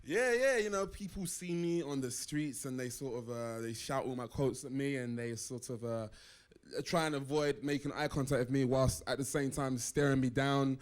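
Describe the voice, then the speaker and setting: A young man chats easily as a guest on a live show, his voice sitting at an ordinary middle pitch. He tells his story in an animated, casual way and drops plenty of slang along the way. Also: moderate pace. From East London, England. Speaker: actor guest. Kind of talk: casual live interview chat.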